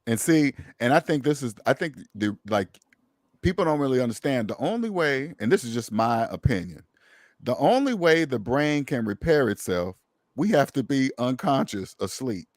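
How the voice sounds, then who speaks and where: A man's voice: mid-range voice, very animated, moderate pace, dry recording, studio quality. A man hosting a casual conversation, speaking emphatically and preachily, repeating phrases for stress. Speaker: podcast host.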